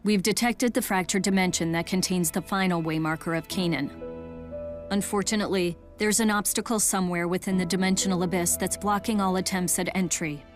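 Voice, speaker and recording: An actress playing a businesslike corporate aide in a video game, with a formal manner. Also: female voice, fairly low pitch, fairly even intonation, a little fast, close to an everyday voice. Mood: formal, serious. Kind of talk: English video game voice acting. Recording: music under the voice.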